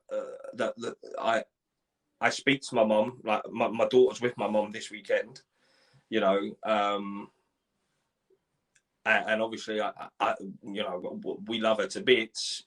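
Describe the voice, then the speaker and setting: A man in his forties from Ireland, telling his life story in a live interview, halting, with many restarts and repeated words. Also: lowish voice, steady tone, fairly bright tone, rough recording. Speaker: guest.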